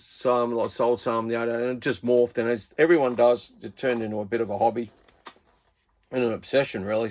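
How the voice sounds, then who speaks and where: An Australian man in his fifties, a radio hobbyist doing a casual video review in a rambling, laid-back way and using plenty of slang. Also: mid-range voice.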